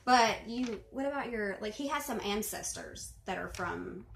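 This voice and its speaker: Young woman, casual vlog chat, mid pitch, roomy echo, rambling with fillers. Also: expressive, moderate pace, fairly bright tone, slight background noise. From Arkansas. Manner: chatty, many fillers, clears throat. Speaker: video host responding to a reading. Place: bedroom at home.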